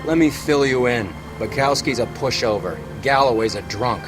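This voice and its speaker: Man acting cynical American vice cop, mid-pitched, smug, needling, clipped slang, clear music behind, video game. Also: animated, normal speed, close to an everyday voice. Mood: sardonic.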